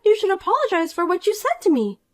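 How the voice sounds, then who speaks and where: A woman's voice: extremely high pitch, very animated, quick pace, pitched above the performer's own voice. A woman voicing a vain, spiteful young woman who was once the most popular girl at school, talking down to an old classmate. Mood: scornful. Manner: condescending, insulting, bossy. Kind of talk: animated story dialogue.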